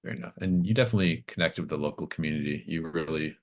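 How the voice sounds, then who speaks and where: A man's voice: rumbling low, very fast, muffled recording. A man casually talking his players through a session as game master, feeling his way through phrases as he goes. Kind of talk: casual wrap-up talk with players.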